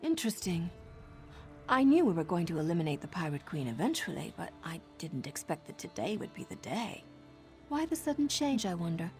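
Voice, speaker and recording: A woman's voice: lowish voice, big pitch swings, a little fast, soft background music. A woman playing a confident female assassin informant in a video game, with a sardonic, conspiratorial manner. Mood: amused, intrigued. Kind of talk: video game character dialogue.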